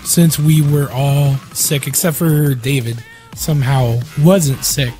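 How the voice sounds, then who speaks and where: A man with a husky, mellow voice chats casually on a podcast, still getting over a bad illness. He jokes crudely and loosely with his friends, with faint music playing underneath. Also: medium pitch, fairly even intonation, takes it slowly. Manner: crude joking, loose and playful. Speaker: podcast co-host.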